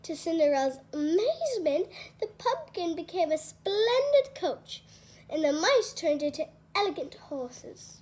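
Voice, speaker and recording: Very high-pitched woman reading a storybook. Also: very animated, measured pace, a little mellow. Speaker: read-aloud storyteller. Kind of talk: children's storybook narration.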